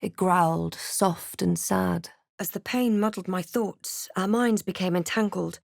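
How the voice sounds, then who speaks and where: A woman playing a snarky, wary female adventurer in a fantasy video game, with a crisp, terse and dramatic delivery. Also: lowish voice, unhurried, no room sound, clean studio sound, heavily acted. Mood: brooding narration. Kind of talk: fantasy video game lines, short remarks and narrated thoughts.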